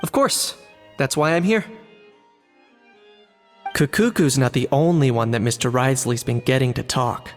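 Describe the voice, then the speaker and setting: An actor playing an earnest, observant young man in a video game, speaking in a measured, inquisitive way. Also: medium pitch, big-room sound, faint music behind, close to an everyday voice. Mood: calm, explanatory. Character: earnest, observant young male investigator, mild and thoughtful. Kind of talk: video game voice acting, narrating and musing.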